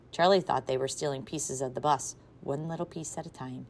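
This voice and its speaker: A woman teacher reading a children's novel aloud to a class, with expressive, varied loudness. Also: sits low. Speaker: third grade teacher.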